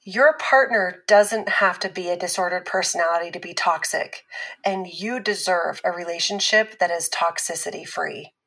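An actress playing a thoughtful relationship expert who explains things in a measured way as an interview guest. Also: female voice, on the low side, fairly bright tone, poor audio. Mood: measured, advisory. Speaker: expert guest on a talk show. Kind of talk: scripted interview in a fiction podcast.

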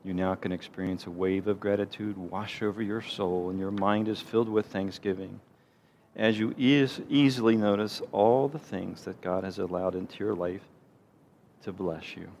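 Low-voiced man leading a gentle guided meditation. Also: fairly even intonation. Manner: gentle, unhurried, soothing delivery with pauses. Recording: clear background noise, low rumble.

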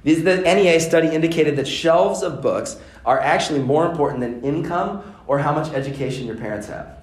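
A man's voice: fairly high pitch, fairly quick. Middle-aged man, seminar speaker, earnest and emphatic, roomy echo. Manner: earnest, building to emphatic repetition. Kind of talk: workshop talk to parents. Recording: echoey room.

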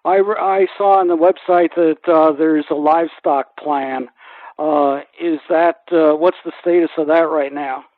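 A man hosting a conversational radio interview, putting polite, halting questions, heard through a narrow-band channel. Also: on the high side, fairly even intonation, dry recording.

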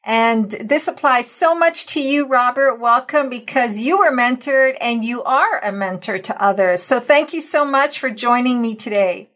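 A woman's voice: a little high, animated, moderate pace, few pauses, fairly bright tone. A woman hosting an interview podcast, earnest and encouraging, reading her introductions and promos. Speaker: podcast host. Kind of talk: scripted intro then interview chat.

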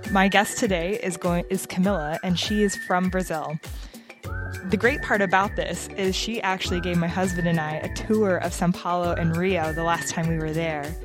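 A woman in her thirties hosting a travel podcast, upbeat and chatty in casual conversation. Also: lowish voice. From United States. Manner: upbeat, chatty, laughs often. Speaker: podcast host.

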